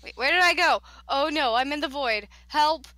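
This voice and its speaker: A woman from East Tennessee with a Southern accent, chatting excitably and playfully as a player in a tabletop game. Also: high voice, moderate pace, no room sound. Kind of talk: casual chat during a tabletop game.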